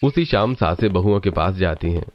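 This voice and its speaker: A man's voice: deep voice, expressive, normal speed, highs cut off. A man narrating a scripted cartoon story as its storyteller.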